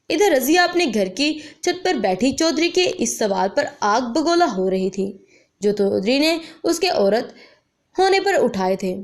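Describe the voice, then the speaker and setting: Woman storyteller with a high, animated voice. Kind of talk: scripted dramatic story narration.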